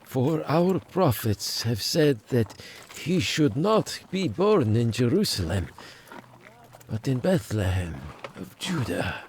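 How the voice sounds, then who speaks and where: A frail, devout man back from death's door in an audio drama. The voice is very gravelly and slow, halting and solemn, with faint noise behind it. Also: mid-range voice, sing-song, darkish tone. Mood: reverent, grateful. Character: weak, grateful, pious Hebrew man revived from near death.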